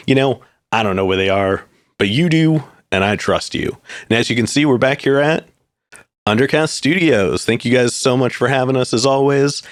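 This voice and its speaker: A man hosting a casual music podcast, energetic and playful as he opens the show and interviews his guest. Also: no echo, studio quality. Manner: energetic and playful, lively rising pitch. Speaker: podcast host. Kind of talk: casual interview, upbeat intro.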